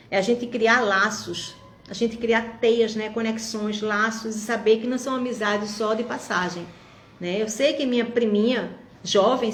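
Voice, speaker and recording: A woman's voice: medium pitch, reverberant. A woman in her fifties giving an encouraging, reflective talk straight to her viewers. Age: 50s. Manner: warm, encouraging, reflective, addresses viewers directly. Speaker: vlogger. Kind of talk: motivational monologue to viewers.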